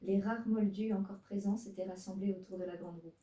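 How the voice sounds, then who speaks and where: A woman's voice: mid-range voice, fairly even intonation, brisk, mellow. Woman reading her old fanfic aloud. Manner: self-mocking asides, stumbles over her text. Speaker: fanfiction reader. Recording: roomy, distant mic.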